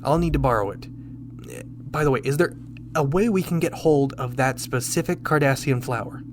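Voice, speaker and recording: A man playing a confident, shrewd starship captain in a sci-fi radio drama, speaking with deliberate, commanding rhetorical pauses. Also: medium pitch, slight background noise, close to an everyday voice. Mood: planning.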